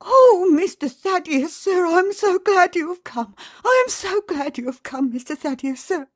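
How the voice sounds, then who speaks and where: A frightened, fussing old housekeeper pours out her worries in a very high, quavering voice. The reading is close and dry, with no room around it. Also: animated, a little slow, no echo. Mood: relieved.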